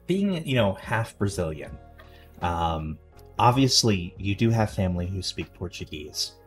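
A man's voice: deep voice, big pitch swings, takes it slowly, soft background music. A man in his forties hosting a podcast interview from a home office over a video call, asking curious, conversational questions into a headset mic. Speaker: podcast host. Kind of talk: casual interview.

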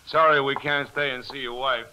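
A man's voice: mid-range voice, steady tone, moderate pace, pushed and bright. An American man playing a timid, henpecked husband who stammers and groans, in a 1940s radio drama. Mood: plaintive. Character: whiny, henpecked, timid husband groaning over his teeth. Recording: crackly old 1940s radio recording.

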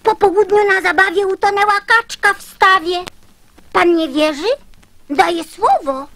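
Eager high-voiced little fibber girl. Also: measured pace, a bit bright, no room sound, full character voice. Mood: eager, insistent. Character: chatty little fibber girl, eagerly spinning tall tales. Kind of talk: theatrical radio recitation of children's verse.

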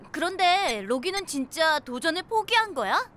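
A woman's voice: very high voice, big pitch swings, unhurried, pushed and bright, heavily acted. A woman playing a cheerful, helpful young female mechanic in a children's cartoon. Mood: cheerful, curious. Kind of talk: children's animated series voice acting.

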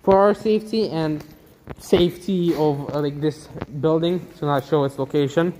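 A man's voice: fairly high pitch, moderate pace, dark tone, slight background noise, rough recording. A young man filming as he walks through a large abandoned factory, chatting and explaining what he sees. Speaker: vlogger filming. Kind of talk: casual chat. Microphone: handheld camera while walking.

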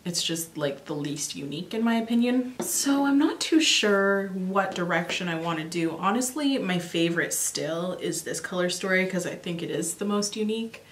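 A young woman with a slightly raspy voice, chatting casually and rambling with many fillers while recording a makeup tutorial at home. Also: on the low side, expressive, moderate pace, hardly any pauses, echoey room, a little hiss or hum. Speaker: beauty vlogger. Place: small home filming room, indoors.

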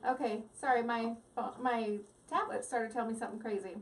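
A woman's voice: fairly high pitch, fairly quick, distant mic, a little hiss or hum. A woman hosting a live crafting stream, chatting with viewers in a rambling, giggly way at her craft table at home. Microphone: device mic set back from the table.

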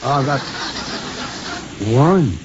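An actor playing a fussy, kindly elderly priest in 1950s radio style, with a hesitant, stammering manner. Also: male voice, medium pitch, lively intonation, very slow. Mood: sheepish. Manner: hesitant, stammering, muttering repetitions. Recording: muffled, noisy 1950s radio recording.

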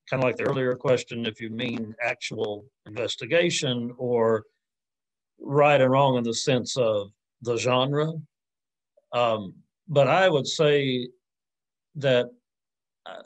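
A man in his forties speaking as an academic answering audience questions informally, thoughtful and halting, often hedging and rephrasing on a video call. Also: medium pitch, rough recording. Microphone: computer mic on a video call.

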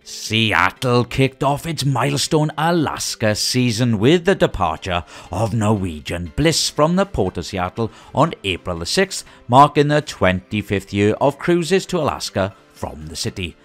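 A man presenting a scripted news roundup in a lively, sing-song delivery with rising greetings. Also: medium pitch, measured pace, hardly any pauses, faint music behind.

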